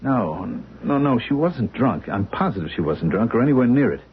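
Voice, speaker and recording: A frightened, stammering husband. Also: male voice, medium pitch, very animated, normal speed, husky. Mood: anxious. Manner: agitated, stammering, repeating himself. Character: anxious middle-aged man, husband, frightened and pleading. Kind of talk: 1970s radio drama acting. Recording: muffled lo-fi old radio recording.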